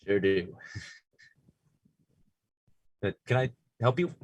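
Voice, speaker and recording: A man's voice: lowish voice, slow pace, no room sound. A man playing a sheepish, dreamy sandwich maker in a comedy table read, understated, on a noisy microphone.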